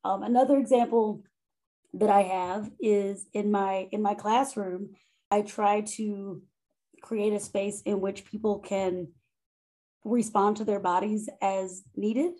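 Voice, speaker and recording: A woman with a loud voice who talks through her teaching practice in a conversational interview, often pausing, repeating words and checking in with "right?". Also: medium pitch, fairly even intonation. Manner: thoughtful, self-correcting, frequent "right?" and "um". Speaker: faculty member and monograph author.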